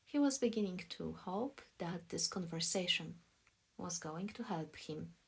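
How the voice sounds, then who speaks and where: A woman from Poland reading scripted audiobook narration as a voice actress, in a soft, deliberate storytelling manner. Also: on the low side, lively intonation, vocal fry, slightly rough.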